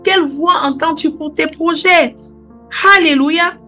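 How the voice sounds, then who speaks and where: A high-pitched woman preaches to her congregation. She repeats her questions and drops in alleluia and amen between lines. Faint music plays underneath. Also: steady tone, a little slow, bright, forceful tone. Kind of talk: sermon.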